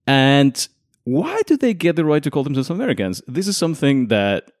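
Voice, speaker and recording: A young man from Mexico hosting a conversational explainer, making his case with lively rhetorical questions. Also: a little high, brisk, no echo, clean studio sound. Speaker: podcast host.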